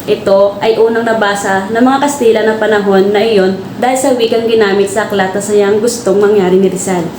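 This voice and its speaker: A woman's voice: mid-range voice, fairly even intonation, fairly quick, natural voice. A young Filipino woman playing an eager student reciting an answer in a student radio drama. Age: young adult. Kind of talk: scripted recitation. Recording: echoey room, faint noise.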